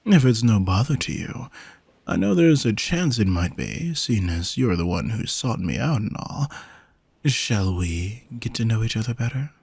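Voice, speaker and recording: A man playing an alluring sea monster posing as a vain, flirty gentleman in an audio roleplay, speaking softly and formally in a haughty, teasing way. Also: on the low side, big pitch swings, a little slow, gravelly, breathy edge, dry recording, full character voice. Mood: coy, charming. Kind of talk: scripted audio roleplay, spoken to the listener.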